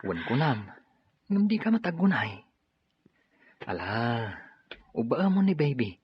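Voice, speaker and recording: A man's voice: mid-range voice, big pitch swings, slow, breathy edge, no echo, heavily acted. Brief: coaxing husband in a radio play, dull lo-fi audio, a man acting. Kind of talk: Ilocano radio drama acting.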